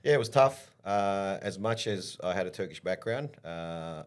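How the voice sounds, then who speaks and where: Deep-voiced man, measured pauses. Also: animated, smooth. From Australia. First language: English. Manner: measured, many "uh" pauses and restarts. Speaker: football coach and former player, podcast guest. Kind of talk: relaxed interview storytelling.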